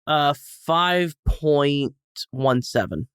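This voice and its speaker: A man's voice: on the high side, extremely slow, a bit bright, smooth, dry recording. A man as a podcast guest, chatting casually about food with dry, joking humor. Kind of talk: casual food review chat.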